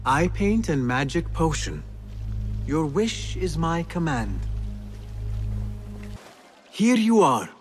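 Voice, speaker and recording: Charming, lively young rogue. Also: male voice, fairly high pitch, takes it slowly, pauses often, soft background music. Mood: smooth, obliging. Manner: formal phrasing, teasing, persuasive. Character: smooth, charming, wheedling young male thief. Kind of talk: video game cutscene acting.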